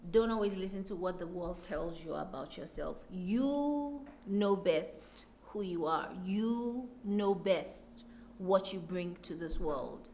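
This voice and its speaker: A woman's voice: lowish voice, expressive, takes it slowly, dark tone, slight background noise. A woman with a Nigerian accent talking reflectively as an interview guest, telling things expressively with emphatic repetition.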